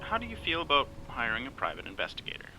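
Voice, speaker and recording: An actor playing a sardonic, bossy best friend in a naturalistic audio drama, wry and exasperated. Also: male voice, mid-range voice, huge pitch swings, fast, over music, rough recording. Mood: sardonic, teasing. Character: sardonic, bossy male best friend named Larry, cellphone company worker.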